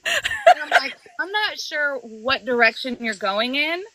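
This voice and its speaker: Woman, audiobook narrator guest, lively pitch, bright tone, storytelling on a call. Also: measured pace, dry recording, rough recording. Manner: expressive storytelling, acts out quotes. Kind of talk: casual panel chat.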